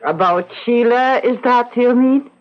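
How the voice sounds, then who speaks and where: A woman's voice: on the high side, measured pace, close to an everyday voice. A woman acts an older Irish aunt for a radio play, motherly and teasing. The recording is dull and a touch lo-fi. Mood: probing. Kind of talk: radio drama acting.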